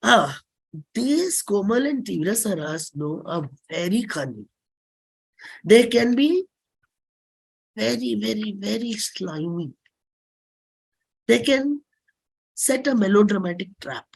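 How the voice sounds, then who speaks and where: Indian woman classical singer reading her stage piece, expressive, short phrases w dramatic pauses, mid pitch. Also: gravelly, breathy edge.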